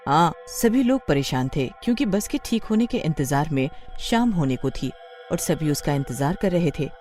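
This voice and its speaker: Mellow, expressive woman storyteller. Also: deep voice, moderate pace, faint music behind. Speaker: narrator. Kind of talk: scripted horror story narration.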